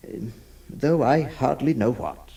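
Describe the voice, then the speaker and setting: An actor playing a troubled, kindly lawyer who narrates and stammers with many hesitations. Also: male voice, medium pitch, expressive, slow pace, vocal fry, warm tone, slightly rough, exaggerated voice. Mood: pleading. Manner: hesitant, stammering, full of uh's. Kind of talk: old radio drama acting.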